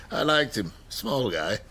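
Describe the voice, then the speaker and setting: An actor playing an outspoken, wry, middle-aged history professor in a radio drama, emphatic and rhetorical. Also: male voice, lowish voice, big pitch swings, slow pace, sharp tone, heavily acted. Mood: wry, confiding. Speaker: university lecturer. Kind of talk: radio drama acting, lecturing.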